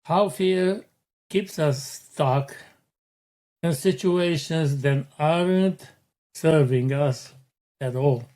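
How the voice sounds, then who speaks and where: A middle-aged man hosts his own motivational podcast, talking slowly and deliberately. His voice sits a little high for a man, and he lays out each point with earnest, unhurried care. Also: dark tone. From Romania. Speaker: podcast host.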